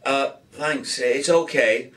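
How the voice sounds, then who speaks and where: Man doing a hesitant, shy teenage girl, higher. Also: slow pace, fairly bright tone, distant mic. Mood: nervous, hurried. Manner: hesitant, flustered, reticent. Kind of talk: audiobook character voice.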